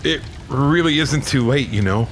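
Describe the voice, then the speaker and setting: A man's voice: medium pitch, moderate pace, slight background noise, lightly acted. A man playing a shaken, weary, earnest policeman in an audio drama. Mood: tense, pleading.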